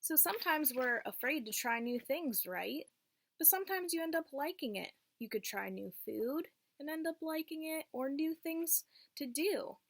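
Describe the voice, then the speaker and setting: A woman's voice: sits high, animated, moderate pace, creaky. A woman reading a picture book aloud to young children, teacher-like, in simple phrases and checking in with questions.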